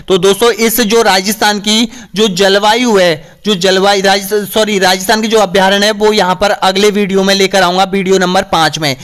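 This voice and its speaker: A man teaches an online exam-prep lesson, and his voice is a little hoarse. He speaks in a high, clear, smooth tone. He asks each question, then answers it himself, with a constant "friends, here" as a filler. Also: fairly bright tone. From Rajasthan, India. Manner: repeats "dosto yahan par", asks then answers. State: slightly hoarse.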